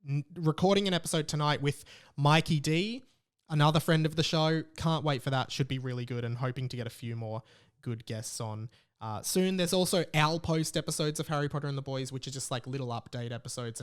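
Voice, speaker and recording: A man's voice: medium pitch, a little fast, clean tone. An Australian man hosting a solo podcast in a casual, rambling and informal way, with exasperated asides. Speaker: podcast host.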